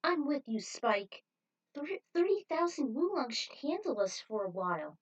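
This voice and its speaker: An excitable bounty hunter in a story reading, acted by a woman, speaks up loudly and emphatically. The pitch is lifted, the temper brash and protective. The microphone sounds distant. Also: moderate pace, dull audio. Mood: eager.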